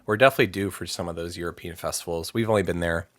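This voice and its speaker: A man talking casually in an interview, relaxed and halting, often restarting his sentences. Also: low voice, no echo. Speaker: guest vocalist.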